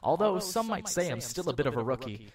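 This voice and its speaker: A man's voice: a little high, sing-song, fairly quick, distant mic. A man playing a wry, self-deprecating young rookie defense attorney in an audio drama, narrating in a sarcastic, conversational way. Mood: wry, upbeat. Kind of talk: fan audio drama acting.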